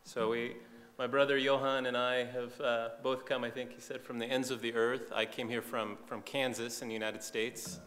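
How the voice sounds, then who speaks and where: A man's voice: mid-range voice, little pitch movement, normal speed, hardly any pauses, a bit bright, a little hiss or hum. Man preaching in a long-reverb hall. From eastern Saudi Arabia. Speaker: visiting preacher. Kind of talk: sermon testimony in short phrases for an interpreter.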